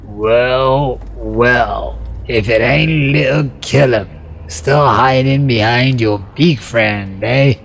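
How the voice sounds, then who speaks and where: A man's voice: medium pitch, slow pace, creaky, a bit bright, a little hiss or hum. A man voicing a drunk, jeering bully in a dramatized story, slurring and drawing out his taunts. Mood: mocking, drunk.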